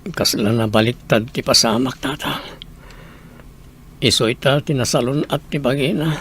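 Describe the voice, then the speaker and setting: A man's voice: medium pitch, normal speed, fairly bright tone, slight background noise, lightly acted. An Ilocano man playing a remorseful, resigned grown son in an audio drama.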